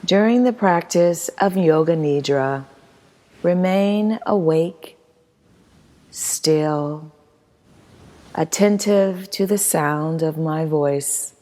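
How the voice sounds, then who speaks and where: A woman leading a guided meditation as instructor, reading in a soothing, measured manner with long pauses. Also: sits low, mellow. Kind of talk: guided meditation, scripted narration. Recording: long hall-like reverb.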